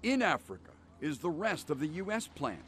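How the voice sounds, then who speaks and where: Animated, higher-pitched American male correspondent. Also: normal speed, slight background noise. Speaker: network news correspondent. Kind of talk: scripted news report narration.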